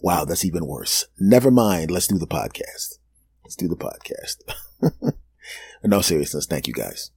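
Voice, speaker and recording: A man in his fifties hosting a solo podcast, rambling and joking, laughing at his own jokes and dropping into low asides. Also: sing-song, unhurried, long pauses, darkish tone, breathy edge. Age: 50s. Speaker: podcast host. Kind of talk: solo comedic podcast monologue.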